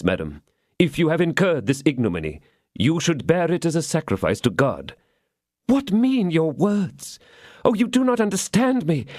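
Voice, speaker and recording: A man's voice: a little high, a little mellow, dry recording, clean studio sound, voice pushed up. A man voicing a scheming woman prisoner who pleads and prays in feigned, sobbing despair.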